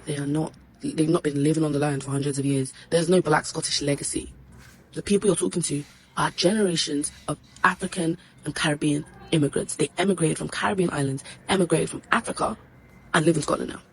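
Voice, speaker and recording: A woman's voice: deep voice, steady tone, fairly quick, slight background noise, rough recording. A woman ranting to camera in a blunt, exasperated, scolding way. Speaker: social media commentator. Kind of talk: ranting direct-to-camera video.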